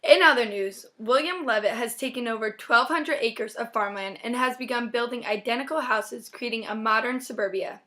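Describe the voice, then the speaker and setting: A woman's voice: a little high, bright, forceful tone. A young woman reading a scripted student newscast as anchor, in a rehearsed, presentational way. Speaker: news anchor. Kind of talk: scripted 1950s-style newscast, student project.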